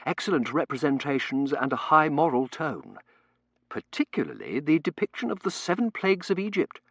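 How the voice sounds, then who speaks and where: Male narrator plays a fussy curate: earnest, pompous, formal, pitched high, audiobook. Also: big pitch swings, moderate pace, a bit bright, no echo, full character voice. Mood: earnest approval. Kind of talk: audiobook character voice.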